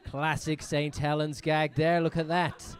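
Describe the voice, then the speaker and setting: A young man with a mixed British and American accent working the crowd at a comedy club, firing off teasing questions and swearing casually. His voice sits a little higher than most men's. He talks into a handheld mic on stage. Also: little pitch movement. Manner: teasing, quick banter with the audience, swears. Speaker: stand-up comedian. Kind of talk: stand-up crowd work.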